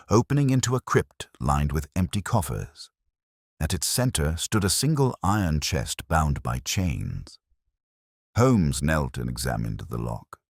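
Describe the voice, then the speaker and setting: Very deep-voiced man narrating a mystery. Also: no echo, clean studio sound. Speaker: audiobook narrator. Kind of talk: scripted mystery story narration in first person.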